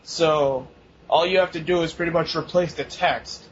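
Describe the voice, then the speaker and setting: A young man with a fairly high voice co-hosts a casual podcast, rambling and laughing. The recording is dull and lo-fi, with a faint hiss under it. He swears freely as he talks. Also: fairly even intonation, normal speed. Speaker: podcast co-host. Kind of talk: casual chat.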